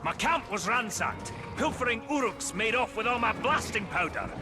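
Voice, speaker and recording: A hot-tempered dwarf hunter in a fantasy game, pitched very high for a man and swinging widely. He blusters impatiently and emphatically over background music. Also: lively intonation, normal speed. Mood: agitated, impatient. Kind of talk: video game cutscene acting. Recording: music under the voice.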